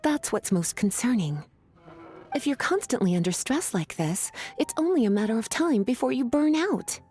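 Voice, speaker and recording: Casting: teasing, languid grown charmer for a game, highish breathy voice, very lively intonation. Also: female voice, normal speed. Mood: concerned. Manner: playful, coquettish, drawling. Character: flirtatious, teasing, languid grown woman, caring friend. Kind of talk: video game voice acting.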